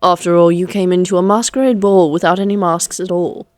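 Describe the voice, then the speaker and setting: A woman's voice: on the low side, fairly even intonation, fairly quick, darkish tone, dry recording, natural voice. A woman playing an elegant, condescending female vampire in a Victorian fantasy audio drama, speaking in a poised, archly formal, teasing manner. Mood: mocking, amused. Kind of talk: scripted roleplay audio drama monologue.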